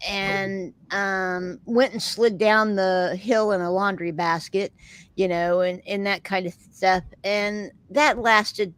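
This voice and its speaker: A woman's voice: medium pitch, fairly even intonation, slow pace, a bit bright, smooth, dry recording. A middle-aged woman with a Texan accent, hosting a casual chat with viewers in a rambling, chatty way and laughing often. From Texas. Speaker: host of a live chat show.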